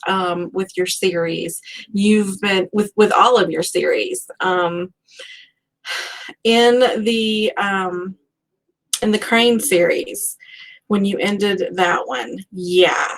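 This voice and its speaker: A woman hosting a casual live book chat, talking like an animated, gushing fan and acting out her reactions. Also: mid-range voice, takes it slowly, poor audio. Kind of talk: casual chatty author interview.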